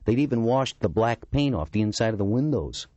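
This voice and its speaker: A man's voice: fairly low pitch, expressive, fairly quick, warm tone, no room sound, dull audio, voice pushed up. A man voicing an eccentric, talkative middle-aged man who complains indignantly.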